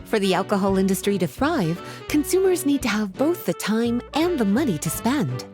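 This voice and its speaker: Teasing, lively sultry woman acted by a woman. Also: mid-range voice, moderate pace, over music, lightly acted. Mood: amused explaining. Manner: playful, teasing, articulate. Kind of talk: anime game voice acting.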